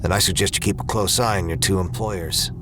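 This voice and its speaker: A man acting a cynical lone survivor on Mars in a sci-fi audio drama. His voice sits low, and he talks in a terse, guarded way, grim and worn down, over prominent music. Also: fairly even intonation, fairly quick, natural voice.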